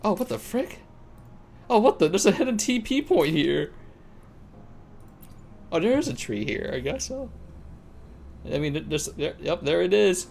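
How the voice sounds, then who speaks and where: A man's voice: high-pitched, very animated, measured pace, creaky, pauses often, a little mellow, slight background noise. A man giving casual, slangy gameplay commentary on a live stream, thinking aloud as he plays. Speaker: live streamer playing a video game.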